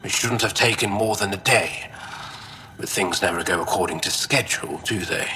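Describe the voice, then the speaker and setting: Cranky alien historian from a video game, lowish sharp voice, fussy and sarcastic, real short with people. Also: male voice, moderate pace, a little hiss or hum. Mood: dry, explanatory. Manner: testy, curt, sardonic. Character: fussy, grumpy male alien historian, stranded and irritable. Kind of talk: video game dialogue acting.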